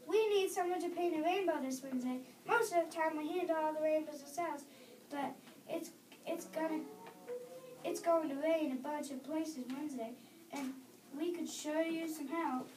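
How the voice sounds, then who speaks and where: Child as big commanding angel tradesman for story theater, high voice, echoey distant recording. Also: moderate pace, a little mellow, soft background music, lightly acted. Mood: businesslike. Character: big heavenly sign painter angel man, commanding. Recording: echoey, distant microphone, background noise.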